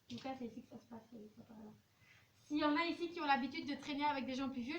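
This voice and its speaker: A woman's voice: sits high, muffled recording. A woman teaching a school class, talking to pupils in an informal, chatty way and acting out little examples. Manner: informal, chatty, role-plays examples of what teenagers say. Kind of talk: classroom lesson, addressing pupils. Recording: noisy echoey classroom, distant microphone.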